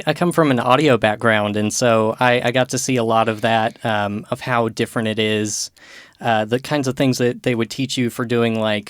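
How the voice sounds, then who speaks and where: Smooth-voiced male podcast host. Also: mid-range voice, no echo, studio quality. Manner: relaxed, measured, with "uh" fillers. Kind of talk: casual podcast interview.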